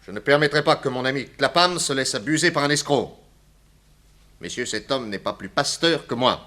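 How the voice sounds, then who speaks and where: An actor playing a shrewd private detective, assured, ironic and commanding, in a 1960s French radio crime drama. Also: male voice, mid-range voice, lively intonation, normal speed, pushed and bright. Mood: accusing.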